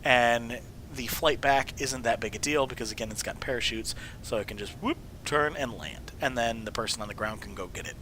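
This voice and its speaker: A man with a cold talking casually through his builds in a game, his voice hoarse and sometimes fading to a whisper, rambling and correcting himself as he goes. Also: medium pitch, a little fast, bright, forceful tone, slight background noise, poor audio. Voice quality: hoarse, dropping to a whisper at times. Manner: rambling, self-correcting, jokey. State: voice mostly gone from illness, sniffling. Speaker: video host showing game builds. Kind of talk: casual gameplay walkthrough.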